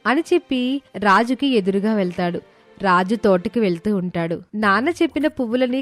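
A woman's voice: mid-range voice, no echo. A woman narrating a scripted moral story as a storyteller.

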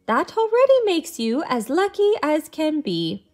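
Storybook leprechaun , played by a woman: small male trickster, high and lively, boastful singsong. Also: a little slow. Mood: cheerful, insistent. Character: mischievous, boastful little male leprechaun who loves candy. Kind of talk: children's storybook read-aloud.

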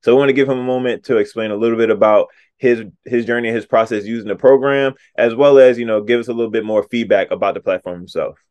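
A man's voice: mid-range voice, steady tone, fast, hardly any pauses, darkish tone, highs cut off. A man hosting a show, opening with an upbeat sponsor read over a video call. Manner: upbeat, direct to audience. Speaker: show host.

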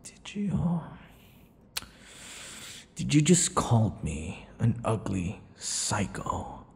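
A man's voice: on the low side, sing-song, extremely slow, vocal fry, a little hiss or hum, full character voice. A man voicing a possessive, teasing, vain vampire captor in an intimate ASMR roleplay, theatrical and taunting, slipping between murmurs and whispers. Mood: controlling, playful. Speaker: audio roleplay performer. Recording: long reverberant echo.

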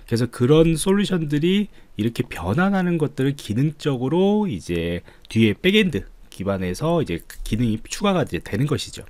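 A man's voice: medium pitch, big pitch swings, moderate pace, few pauses, a little mellow, no echo. A man giving a screencast coding tutorial as an instructor, explaining politely step by step. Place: indoors, voice-over on screen recording.

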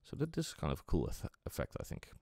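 A man presenting a casual music software tutorial, explaining step by step in an unscripted, halting way. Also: dry recording, clean studio sound.

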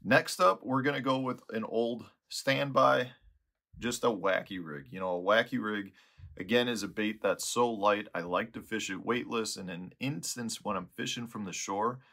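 A man in his forties with a Midwestern American accent talks in a lowish, forceful voice. He explains things conversationally, like a guide giving practical tips to viewers. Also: normal speed, vocal fry. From Chicago area, United States. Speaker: fishing guide and video host. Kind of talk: informal instructional talk to camera. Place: small indoor tackle room.

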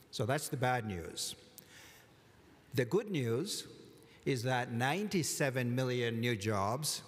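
Man, commencement speaker, mid-pitched voice, dry humor, lectern mic, reverberant hall. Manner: measured, deliberate delivery with dry humor. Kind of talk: formal commencement address. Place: large hall at a lectern, indoors. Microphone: lectern microphone.

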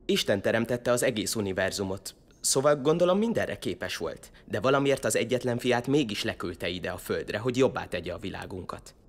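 A man's voice: on the low side, expressive, few pauses. An actor playing an earnest, solitary, devout young man in an audio drama, sincere and a little hesitant.

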